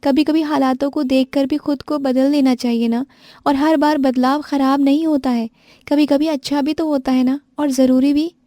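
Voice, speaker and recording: A woman's voice: sits high, fairly even intonation, moderate pace, warm tone, dry recording, clean studio sound, pitched above the performer's own voice, lightly acted. A woman voicing a young sister-in-law who complains and pleads. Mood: persuading.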